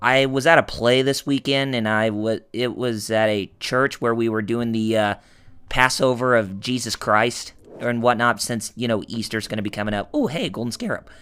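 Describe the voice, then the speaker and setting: Male let's-play host, hoarse and raspy, chatty and rambling; bright tone. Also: medium pitch, normal speed. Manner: rambling, full of fillers and restarts, jokey. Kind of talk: gameplay commentary.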